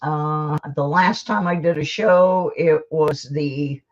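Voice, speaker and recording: A woman's voice: low voice, takes it slowly. A woman hosting a live stream, chatting casually and rambling to her viewers in a folksy, self-deprecating way, on a dull lo-fi recording. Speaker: livestream host.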